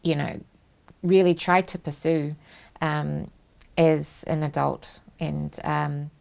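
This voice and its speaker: A woman with a New Zealand accent hosts a casual studio talk show. Her voice sits low and carries a heavy gravelly fry, and she speaks reflectively, pausing often on fillers as she recalls her upbringing. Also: steady tone. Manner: warm reflective storytelling with many fillers. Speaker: host. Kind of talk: casual interview chat.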